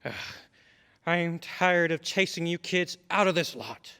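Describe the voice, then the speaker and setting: A man playing a stern, irritable adult who scolds children in an old-time radio drama, gruff and dismissive. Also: a little high, animated, measured pace, bright, forceful tone, exaggerated voice. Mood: irritated. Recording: long hall-like reverb.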